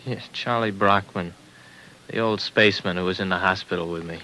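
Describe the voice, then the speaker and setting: A man's voice: deep voice, fairly even intonation, moderate pace, pushed and bright, slight background noise, poor audio. An actor in a 1950s radio drama playing a wary, bewildered space traveler who also tells his story in hard-boiled style. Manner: plain-spoken, questioning, hard-boiled. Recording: old 1950s radio recording.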